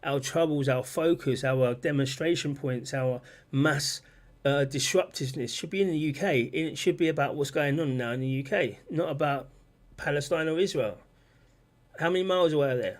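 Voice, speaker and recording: Rambling man, higher-pitched. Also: normal speed. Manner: rambling, repeats himself, reads out chat comments. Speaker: livestream host. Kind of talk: casual political livestream talk.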